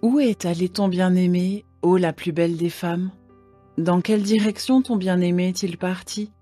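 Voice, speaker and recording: A woman's voice: fairly low pitch, fairly even intonation, normal speed, dark tone, husky, faint music behind. A woman playing an eager, inquiring young woman of Jerusalem in a dramatized scripture reading.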